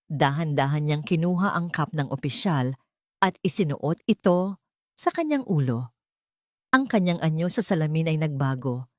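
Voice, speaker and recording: A woman reading a dramatic scripted story as a narrator, in a measured, solemn way. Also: low voice, no room sound, clean studio sound.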